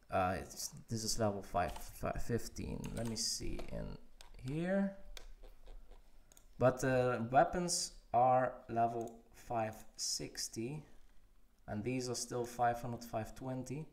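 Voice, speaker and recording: A man chats casually with viewers during a game livestream. His voice sits at an ordinary middle pitch. He talks loosely and stops often with fillers as he checks things in the game. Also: expressive, slow. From Netherlands. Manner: answers chat questions while playing, hesitant with many fillers. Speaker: game streamer.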